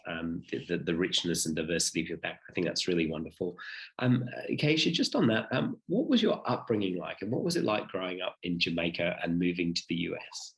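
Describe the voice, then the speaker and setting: Deep-voiced British-born male host. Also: poor audio. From United Kingdom. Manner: warm, encouraging interjections. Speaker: podcast host. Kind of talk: interview questions.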